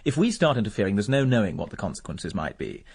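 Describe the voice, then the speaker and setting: An actor playing a cool, cynical war veteran in a radio drama, urbane and dry. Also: male voice, on the low side, very animated, creaky, breathy edge, exaggerated voice. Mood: cool, wry. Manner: urbane, dry, measured logic.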